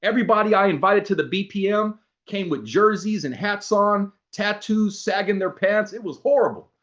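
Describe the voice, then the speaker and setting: A man giving a motivational talk to a group, animated, acting out both sides of his stories. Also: high-pitched, normal speed. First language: not English. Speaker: motivational speaker. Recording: dull lo-fi sound.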